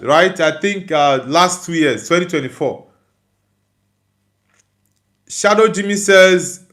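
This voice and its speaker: A man with a Nigerian accent speaks in a slightly high-pitched voice. He hosts a football livestream in a casual, conversational style, reading out comments and answering them. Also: fairly bright tone.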